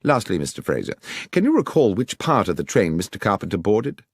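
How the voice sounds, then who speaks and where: A man reads a keen gentleman detective, his voice lifted a little higher and crisp, probing with dry irony. Lively and exact in a close, dry recording. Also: a little fast, no echo, heavily acted. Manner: crisp, probing, dryly ironic. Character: sharp, precise male detective, middle-aged.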